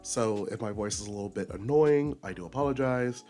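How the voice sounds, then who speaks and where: Hoarse, nasal man ranting on his channel. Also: brisk, a little mellow, faint music behind. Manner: emphatic, with sudden high-pitched bursts. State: raspy, slightly nasal from a recent illness. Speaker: commentary YouTuber host. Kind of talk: unscripted rant commentary.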